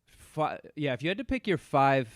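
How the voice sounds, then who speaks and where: A man's voice: on the high side, very animated, moderate pace, no room sound. A man hosting a podcast in casual, sweary conversation about movies. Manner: swears freely. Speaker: podcast host.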